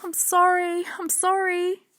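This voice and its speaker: An earnest young girl from a storybook, played by a woman narrator, speaks in a high, urgent voice. She is anxious and apologetic, yet keeps pleading her case. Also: monotone, slow pace, a bit bright, no echo, studio quality, full character voice.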